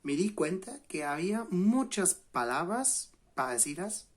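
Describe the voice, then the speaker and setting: A man in his late twenties from England, a native English speaker with an English accent, giving a relaxed spoken lesson to camera and pausing often to restart with fillers. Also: sits high. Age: late 20s. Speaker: language channel host.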